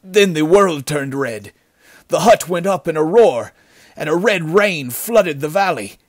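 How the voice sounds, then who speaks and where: A man's voice: mid-range voice, lively intonation, slightly rough, dry recording, clean studio sound, voice pushed up. Man acting a gasping, mortally wounded bandit. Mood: horrified, failing. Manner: halting, strained, broken phrases. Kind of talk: dramatic storybook character acting.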